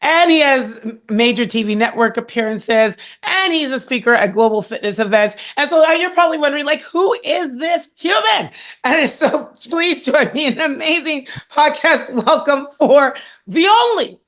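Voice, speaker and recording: A woman hosting a podcast, introducing her guest in a bubbly, laughing, animated way with a husky voice. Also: high-pitched, normal speed. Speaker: podcast host. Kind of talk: energetic interview intro.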